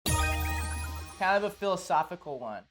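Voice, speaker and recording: A man's voice: sits high, expressive, slow, a bit bright, soft background music. A man hosting a podcast, asking questions and chatting casually with his guest. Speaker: podcast host, interviewer. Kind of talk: casual interview chat.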